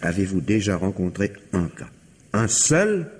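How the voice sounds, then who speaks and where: A calm, insistent persuader from an old radio mystery. His voice sits low and sounds husky. It swings widely as he coaxes. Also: a little slow, full character voice. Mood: persuasive. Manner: insistent, coaxing. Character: calm, persuasive man pleading for mercy. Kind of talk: 1950s radio drama acting.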